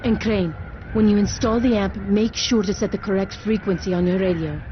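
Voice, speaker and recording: A woman's voice: medium pitch, steady tone, fairly quick, highs cut off, slight background noise, lightly acted. A woman playing a purposeful resistance leader briefing a comrade in a video game. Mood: firm, hopeful. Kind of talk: video game cutscene acting.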